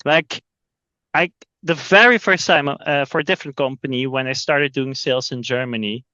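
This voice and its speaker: A man's voice: medium pitch, moderate pace, no room sound. Man, podcast guest, sales executive, stumbling restarts, dry and muffled sound. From Belgium. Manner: repeats words as he restarts, lively. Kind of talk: casual interview talk.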